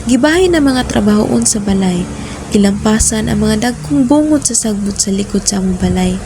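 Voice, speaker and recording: A woman's voice: fairly high pitch, background noise, lightly acted. A woman playing a young woman who narrates her own romance in a scripted radio drama. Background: music.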